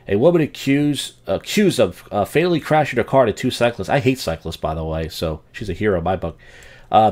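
A young man hosting a call-in show, rambling through crude jokes with flat asides. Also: on the low side. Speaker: podcast host. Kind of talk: casual crude comedy monologue, taking calls.

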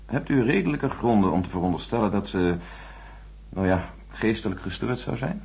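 A condescending space-programme boss in a radio drama. His voice is deep and very husky, formal and patronising, with animated intonation. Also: moderate pace, full character voice. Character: smooth-talking, condescending male chief space engineer, coolly official. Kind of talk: radio play dialogue.

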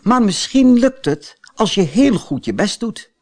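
A man voicing a kind, motherly fairy in a storybook, gentle and reassuring. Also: sits high, sing-song, measured pace, pitched above the performer's own voice, full character voice.

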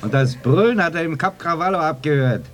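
A man's voice: a little high, lively intonation, brisk, a little hiss or hum, lightly acted. An actor playing a wry, grumbling villager in a radio drama, folksy and mocking in his talk. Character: plain-spoken villager guarding his old coach, wry and grumbling. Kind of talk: German radio drama acting.

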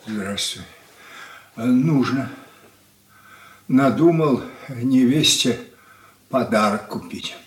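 Actor as family father: mid-pitched, very slow, brooding, roomy radio-drama sound. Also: natural voice. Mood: subdued. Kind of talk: 1980s Soviet radio play acting.

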